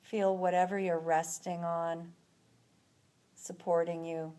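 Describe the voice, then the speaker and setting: A woman leading a guided relaxation as an instructor, speaking in a soothing, unhurried manner with long pauses between phrases. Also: on the low side, level tone, dark tone.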